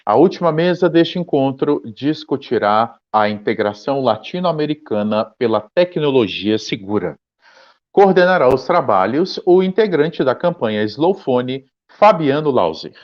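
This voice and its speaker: A man giving a formal event announcement in Portuguese, measured and ceremonial. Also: medium pitch, sing-song, a little mellow, lo-fi sound.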